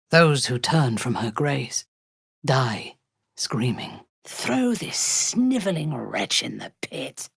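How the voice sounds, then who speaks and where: Haughty dark elf commander, slow and expressive. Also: female voice, very deep voice, creaky, husky, no echo, studio quality, exaggerated voice. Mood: grave, proud. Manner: imperious, measured, menacing. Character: haughty, ruthless dark elf warrior woman, cultist commander. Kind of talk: video game character voice lines.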